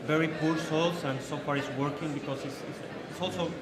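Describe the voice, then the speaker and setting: A man with a Chilean Spanish accent, speaking on a wine panel, halting and searching for words. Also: a little high, fairly even intonation, a crowd in the background. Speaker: winemaker panelist. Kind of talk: panel discussion. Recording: distant mic in a reverberant hall with audience.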